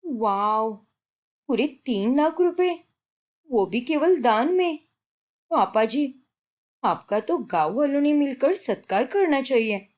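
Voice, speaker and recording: Woman as showy young bride, animated pitch, unhurried, bragging, insistent, cartoon family story. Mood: impressed, gushing. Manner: bragging, insistent, peppers Hindi with English. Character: showy, boastful young newlywed daughter-in-law.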